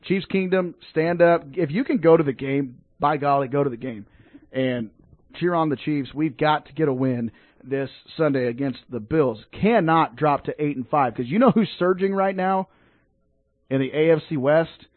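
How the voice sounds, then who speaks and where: A man hosting a local sports radio show, chatting casually about football and high school games and rallying the fans. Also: normal speed, no echo. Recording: dry, phone-like narrow sound.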